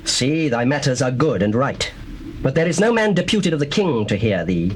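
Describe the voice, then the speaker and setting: An actor playing a proud, scheming young prince in a dramatized scripture, with a British accent and declamatory, formal speech. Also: male voice, medium pitch, big pitch swings, normal speed, natural voice. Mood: smooth, persuasive. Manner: declamatory, archaic formal phrasing. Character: proud, scheming young prince plotting against his father. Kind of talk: dramatized scripture, theatrical British stage delivery. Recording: noisy old cassette tape, birdsong behind.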